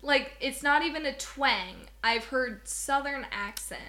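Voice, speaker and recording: A young woman from Southwest Missouri co-hosts a podcast, talking casually and loosely with frequent laughs. Her voice is bright and sits a little high. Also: a little slow. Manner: chatty, rambling, laughs often. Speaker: podcast co-host.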